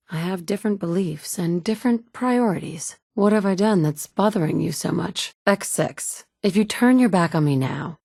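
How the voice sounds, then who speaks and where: A woman's voice: lowish voice, darkish tone, no echo, studio quality. An actress voicing a tough, blunt woman survivor, the player character in a game, who is curt and assertive. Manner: curt and assertive, quick to snap back. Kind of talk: game voice lines.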